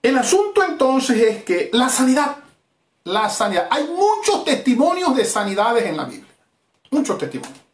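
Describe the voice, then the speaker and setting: A man's voice: very high voice, wildly swooping pitch, normal speed, reverberant. A middle-aged Venezuelan man preaching a fervent evangelistic sermon as pastor, with emphatic repetitions. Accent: Venezuelan Spanish. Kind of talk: evangelistic sermon streamed live.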